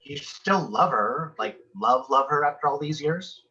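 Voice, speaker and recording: A man's voice: a little high, animated, measured pace, pushed and bright, husky, exaggerated voice. A man playing a puzzled, mildly skeptical co-worker in a sitcom table read, heard over a dull video call.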